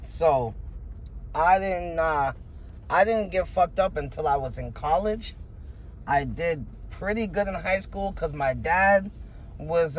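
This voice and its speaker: A man's voice: fairly high pitch, a little hiss or hum. A man in his thirties with a froggy voice, talking frankly in an interview and rambling with many filler words. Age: 30s. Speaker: interview guest, rapper. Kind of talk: candid interview answers.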